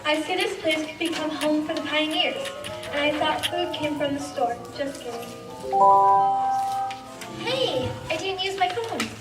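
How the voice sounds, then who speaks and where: A woman's voice: high voice, a little slow, long pauses. A woman playing a curious, eager kid who loves learning, in an amateur radio play. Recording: echoey, distant mic, loud music.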